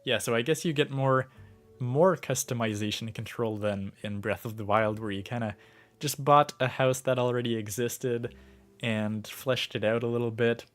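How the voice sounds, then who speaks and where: Sick streamer dude thinking out loud, lots of ums, mid pitch, faint game music behind. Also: male voice, steady tone, normal speed. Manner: rambling, musing aloud with many fillers. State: sick, starting to lose his voice. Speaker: gaming livestreamer. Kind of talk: casual gameplay commentary.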